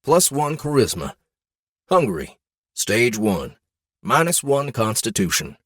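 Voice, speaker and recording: A man narrating a scripted fantasy audiobook in an expressive, deliberate storytelling manner. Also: mid-range voice, dry recording, studio quality. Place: dry studio.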